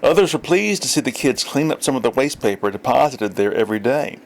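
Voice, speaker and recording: A man's voice: mid-range voice, animated, a little fast, gravelly. A man reading a literary story aloud as scripted narration. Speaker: audiobook reader.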